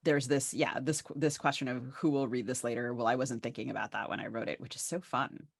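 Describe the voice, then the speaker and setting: A woman's voice: extremely low pitch, steady tone, a little fast, vocal fry, husky. A woman co-hosting a literary podcast, conversational and thoughtful, often searching for her words. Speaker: podcast co-host.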